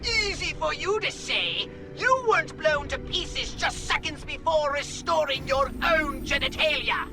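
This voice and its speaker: A man's voice: very high voice, lively intonation, measured pace, vocal fry, few pauses, music in the background, heavily acted. A man voicing a fussy, irritable old alien mentor in a video game, with pedantic, exasperated delivery. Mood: exasperated, scolding. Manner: exasperated, pedantic, formal diction. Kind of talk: video game cutscene acting.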